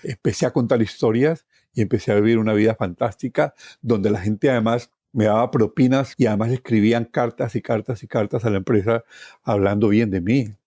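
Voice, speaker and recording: A man's voice: on the low side, fairly even intonation, a little fast, dark tone, no echo, clean studio sound. A middle-aged man with a Colombian accent telling personal stories, with emphatic repetition and conversational tag questions. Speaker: interview guest, tour guide. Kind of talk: personal storytelling.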